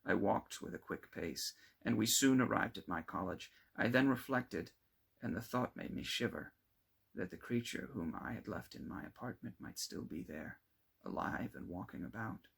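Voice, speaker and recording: Gravelly man reading a classic story. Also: on the low side, expressive, normal speed, fairly bright tone. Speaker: amateur audiobook reader. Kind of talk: scripted literary narration.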